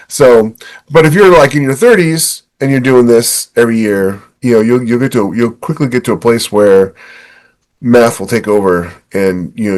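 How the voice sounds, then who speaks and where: A man in his fifties giving casual, emphatic, explanatory advice in a conversational chat. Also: fairly low pitch. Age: 50s. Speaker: podcast co-host.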